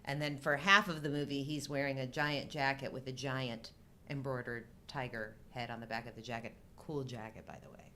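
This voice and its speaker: A woman's voice: extremely low pitch, steady tone, moderate pace, pushed and bright. A middle-aged woman co-hosting a live show, chatting casually about films. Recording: faint background noise.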